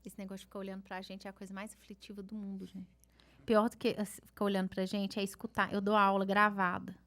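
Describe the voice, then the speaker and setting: A woman's voice: mid-range voice, completely flat delivery, fast, fairly bright tone. A woman with a regional Brazilian accent, lecturing at a seminar and answering questions in a chatty, self-deprecating way. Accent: Brazilian Portuguese, regional. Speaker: law lecturer. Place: conference hall.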